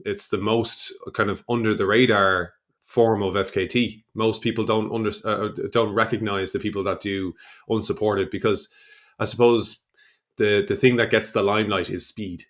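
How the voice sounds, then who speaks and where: An Irish man with a fairly low voice hosts a conversational podcast interview. He speaks casually, in halting phrases that often restart as he goes. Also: steady tone. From Ireland.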